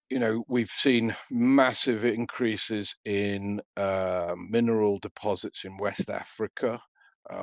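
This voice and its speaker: Brief: middle-aged man, very low gravelly voice, dry dull recording, hesitant expert answers. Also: no echo. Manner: measured, hesitant with many fillers and restarts. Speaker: freight market analyst guest. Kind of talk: business interview answers.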